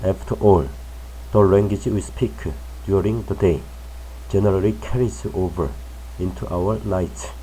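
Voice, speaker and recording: A man reading a magazine article aloud for English learners in a careful, measured way. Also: low voice, steady tone, mellow, a little hiss or hum, poor audio. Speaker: English news reader.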